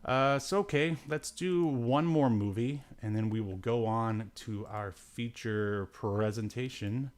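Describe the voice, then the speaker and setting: A man's voice: medium pitch, slow pace, hardly any pauses. A man hosting a podcast with casual, rambling review chat, hesitant and given to dry, joking asides. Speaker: podcast host.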